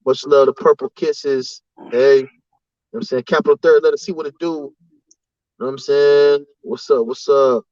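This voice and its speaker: A man's voice: medium pitch, steady tone, moderate pace, darkish tone, no echo, muffled recording. A man hosting a casual livestream, reading out names from the chat and often tagging his lines with "you know what I'm saying". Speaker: livestream host.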